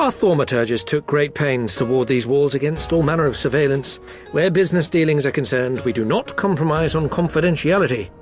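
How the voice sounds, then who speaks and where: An actor playing a slyly courteous merchant envoy in a video game cutscene, with florid, unctuous, teasingly polite speech. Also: male voice, on the high side, fairly quick, soft background music, natural voice. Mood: businesslike hospitality.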